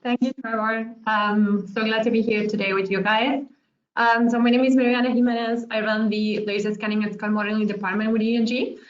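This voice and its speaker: Woman from Argentina, webinar presenter, bright higher register, lo-fi call sound. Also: level tone, a little fast. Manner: friendly, hesitant with many fillers. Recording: muffled lo-fi online call audio.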